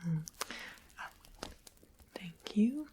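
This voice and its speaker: Woman playing a slow, whispering, sweetly sinister witch. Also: medium pitch, big pitch swings, dark tone, slight background noise. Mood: sweet, businesslike. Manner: whispered, coy, lingering, with doting endearments. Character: sweetly sinister, coaxing witch of a fantasy forest. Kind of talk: ASMR fantasy roleplay.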